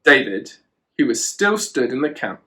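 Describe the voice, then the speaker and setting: A man's voice: mid-range voice, very animated, moderate pace, sharp tone. A man reading a children's storybook aloud, with chatty asides to a child. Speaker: children and youth worker, storyteller.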